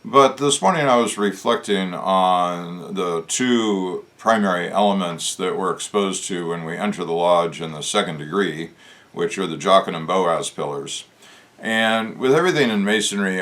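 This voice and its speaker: A man with a hoarse voice, talking in a casual, reflective way as a show host, pausing often with "uh" as he thinks aloud. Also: sits low, a bit bright. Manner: musing, unhurried, with frequent "uh" pauses. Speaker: channel host discussing Masonic lore.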